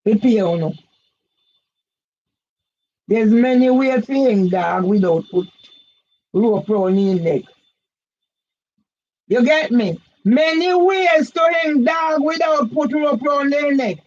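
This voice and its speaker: An older Jamaican woman with a very high voice speaks slowly and heatedly, circling back over the same phrases and breaking into shouts. The audio is dull and lo-fi. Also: animated. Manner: agitated, repetitive, rises to shouts. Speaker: vlogger. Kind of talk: impassioned monologue.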